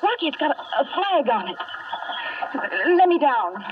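A woman's voice: sits high, very animated, a little slow, distant mic. A woman acting an anxious, plaintive, game but squeamish young sidekick in a 1950s radio drama, breathless and wide-eyed. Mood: excited, anxious. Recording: muffled, hissy vintage radio recording.

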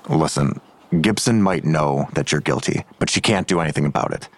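A man's voice: rumbling low, brisk, no room sound. A man playing a weary, grudging police detective in an audio drama, curt and sardonic in manner. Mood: curt, scornful.

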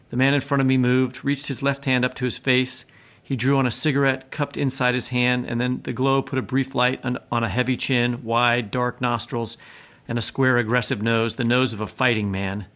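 Male podcast host, mid-pitched voice. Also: a bit bright. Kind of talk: literary podcast talk with some reading aloud.

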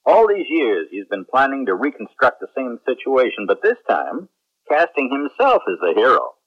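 Lively astronaut captain, old radio drama. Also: male voice, medium pitch, moderate pace, husky. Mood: explanatory. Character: good-humored, informal male astronaut captain. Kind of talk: 1970s radio drama acting. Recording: dull, band-limited vintage radio recording.

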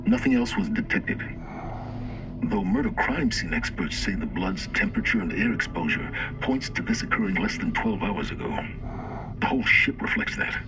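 A grim, clinical investigator in an audio drama. His low voice swings widely in pitch while staying technical and matter-of-fact. Music plays clearly underneath. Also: wildly swooping pitch, normal speed, exaggerated voice, poor audio. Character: clinical, grim male crime scene investigator. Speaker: crime scene investigator. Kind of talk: audio fiction drama acting. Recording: clear music bed behind the voice.

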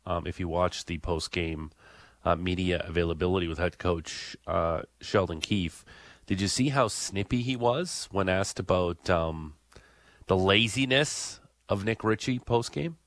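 A man's voice: deep voice, animated, a little slow, fairly bright tone, no room sound, clean studio sound. A man hosting a sports radio talk show, leading the chat with questions in a conversational way. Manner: conversational, leads the discussion with questions.

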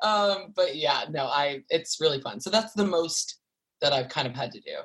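Young guy in his 20s rambling about stage life, lots of likes and ums, kinda high natural voice. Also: lively intonation, moderate pace, fairly bright tone. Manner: chatty, lots of likes and fillers. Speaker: guest, stage performer. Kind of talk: casual interview chat.

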